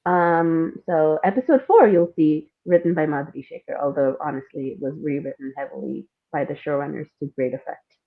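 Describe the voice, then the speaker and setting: A woman from India and Singapore chatting casually as an interview guest, telling personal stories in an animated way with frequent laughter. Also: deep voice, fairly quick, hardly any pauses. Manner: animated, laughs often while talking. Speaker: podcast guest, playwright and screenwriter. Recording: thin phone-like call audio.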